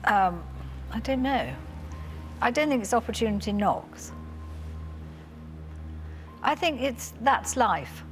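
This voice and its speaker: A woman's voice: on the high side, animated, takes it slowly, long pauses, faint music behind. A woman answering quiz questions, hesitant and thinking aloud. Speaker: quiz panelist.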